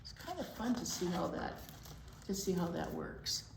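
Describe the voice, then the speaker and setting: A woman leads a storytime for young children with very animated, expressive delivery. She reads and chats in simple words, in an echoey room with slight noise behind her. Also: on the low side, normal speed, creaky. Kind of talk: animated picture book read-aloud for young children.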